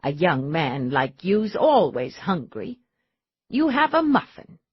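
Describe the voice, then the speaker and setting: A cheerful middle-aged housewife with a sweet, folksy, hospitable manner, as a woman narrator plays her. The voice swoops lively up and down with a husky grain. It comes through a dull, dry, lo-fi recording. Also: low-pitched, slow pace, no room sound, full character voice. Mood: sweetly folksy. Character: cheerful middle-aged wife and mother, secretly steely.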